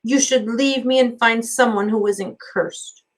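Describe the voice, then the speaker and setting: A woman's voice: a little high, steady tone, a little slow, far from the mic. A woman voicing a downcast, self-blaming young husband in a story, with plain, earnest delivery.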